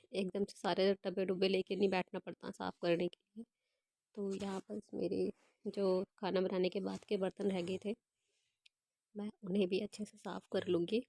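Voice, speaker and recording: A young woman with a hoarse voice from a cold, chatting casually as she walks through her evening kitchen routine step by step. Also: mid-range voice, a little mellow, no room sound. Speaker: home vlogger. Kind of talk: casual voice-over narration of home chores.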